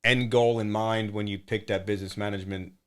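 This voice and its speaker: A man's voice: on the low side, fairly even intonation, a little fast. Upbeat filler-heavy man hosting a podcast. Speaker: podcast host. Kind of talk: casual interview chat.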